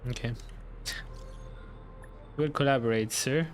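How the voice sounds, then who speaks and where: A man giving casual, chatty live reactions as an excitable game streamer. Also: mid-range voice, slow, soft background music.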